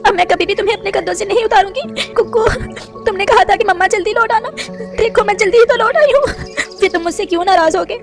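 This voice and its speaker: A woman voicing a gentle, loving mother sparrow in a cartoon, speaking in a tender, pleading way. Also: very high voice, fast talker, over music, pitched above the performer's own voice. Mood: grieving.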